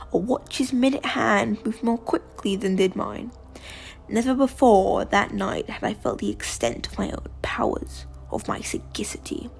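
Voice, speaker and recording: Woman acting a deranged, whispering gothic murderer. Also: medium pitch. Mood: smug. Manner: theatrical, often dropping into breathy whispers. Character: deranged, gloating murderer confessing while insisting on sanity. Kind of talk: dramatic gothic story reading.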